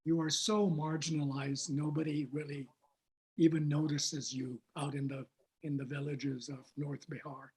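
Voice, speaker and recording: Male panel moderator, first language Hindi, slightly high voice, thoughtful and hesitant. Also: flat delivery, normal speed, a little mellow, low-quality recording. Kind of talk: panel discussion.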